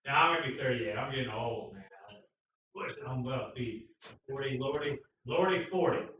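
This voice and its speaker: A man co-hosting a casual motorcycle live stream, joking and teasing with loud bursts. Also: mid-range voice, expressive, moderate pace, noisy background. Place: garage. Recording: echoey garage, far from mic.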